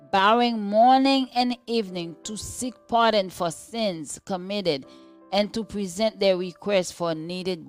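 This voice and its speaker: A woman with a slightly low voice reads a devotional text slowly. She sounds solemn and measured, with soft music faintly behind her. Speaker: devotional reader. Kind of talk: scripted devotional reading.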